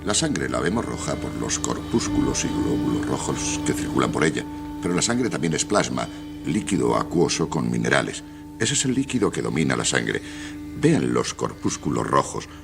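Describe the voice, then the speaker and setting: An actor playing a learned, authoritative doctor in a radio drama, speaking in a didactic, declamatory way. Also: male voice, very deep voice, sing-song, moderate pace, vocal fry, exaggerated voice. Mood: wondering, didactic. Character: learned, authoritative male doctor aboard a submarine, philosophical. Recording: loud music behind the voice.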